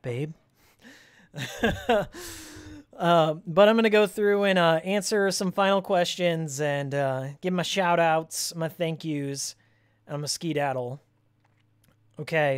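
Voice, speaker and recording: A live game streamer with a medium-pitched, raspy voice that sounds worn from screaming. The talk is casual and rambling, full of fan trivia, slang and blunt, joking opinions.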